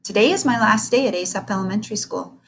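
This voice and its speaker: A woman voicing a timid, jittery male teacher who squeaks and stammers. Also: fast talker, a bit bright, a little hiss or hum, close to an everyday voice. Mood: earnest.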